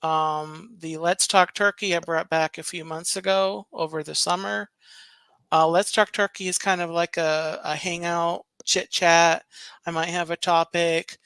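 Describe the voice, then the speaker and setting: A soft-spoken man chatting casually on a live stream, unhurried and rambling, with plenty of fillers. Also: on the high side, level tone, vocal fry, smooth, dry recording. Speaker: co-host, stream guest.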